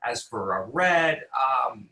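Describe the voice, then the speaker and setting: American male chef, bright forceful voice, casual interview guest who doubles back on his words, roomy echo. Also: mid-range voice, expressive, slow. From New York City, United States.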